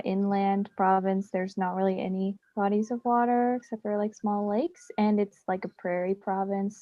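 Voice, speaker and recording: A woman's voice: mid-range voice, dark tone, dry recording. A young woman from Canada, an accounting student, talking casually and hesitantly with many "like" fillers. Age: young adult. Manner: hesitant, lots of "like". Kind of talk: casual chat. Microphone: video call. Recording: dry, dull video call audio.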